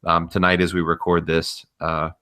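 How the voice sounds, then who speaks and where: A man's voice: sits low, completely flat delivery, normal speed, sharp tone, smooth. A man co-hosting a podcast, explaining things in a casual, knowledgeable way. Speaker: podcast co-host.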